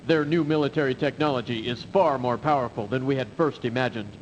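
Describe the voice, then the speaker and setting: A man's voice: mid-range voice, brisk, highs cut off, lightly acted. Man playing a formal, loyal military aide. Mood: formal, concerned. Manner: formal, reporting. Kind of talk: video game cutscene acting. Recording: faintly noisy 1990s game audio.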